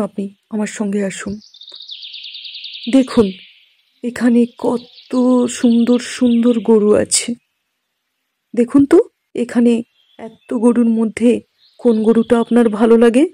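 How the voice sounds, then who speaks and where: A woman playing a kind, grateful, generous village man in a cartoon, speaking politely and gently. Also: on the high side, flat delivery, measured pace, pauses often, close to an everyday voice. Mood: helpful. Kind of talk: cartoon moral-story dialogue. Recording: faint noise with birdsong.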